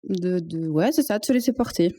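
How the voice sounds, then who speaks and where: A woman's voice: lowish voice, animated, a little fast, dark tone, no echo. A woman in her thirties from northern France, a photographer chatting as a podcast guest, hesitant, with many restarts and fillers. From Arras, northern France. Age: 30s. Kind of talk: casual conversation.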